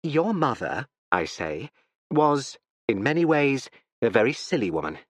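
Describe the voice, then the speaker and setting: A male audiobook reader voicing a cold, measured older judge with an imperturbable, dry manner. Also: mid-range voice, big pitch swings, fairly bright tone, no room sound. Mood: cutting.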